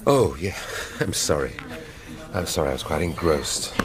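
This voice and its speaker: An actor playing a wry, irritable police detective laid up in hospital, speaking drily in a radio drama. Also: male voice, a bass voice, animated, normal speed, crowd noise. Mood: apologetic, curious.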